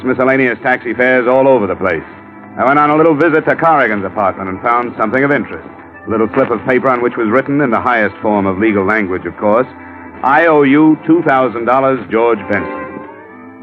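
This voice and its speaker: A man's voice: mid-range voice, close to an everyday voice. A man playing a dry, wisecracking insurance investigator in a 1950s radio detective drama. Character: wry, unflappable hard-boiled insurance investigator. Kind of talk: 1950s radio detective acting and scripted narration. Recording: dull old radio recording, music behind.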